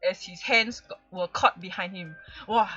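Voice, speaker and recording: A woman's voice: mid-range voice, measured pace, sharp tone, husky, dull audio, faint music behind. A woman with a Singaporean accent playfully reading fan fiction on a livestream, often breaking into giggles. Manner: giggly, often breaking into laughter mid-sentence. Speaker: livestream host.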